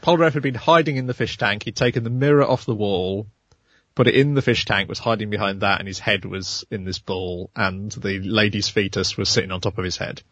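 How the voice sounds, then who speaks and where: A man's voice: lowish voice, brisk, fairly bright tone, dry recording. A man hosting a casual chat show, retelling an episode in a wry, giggly way while losing his voice. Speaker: podcast host recapping an episode.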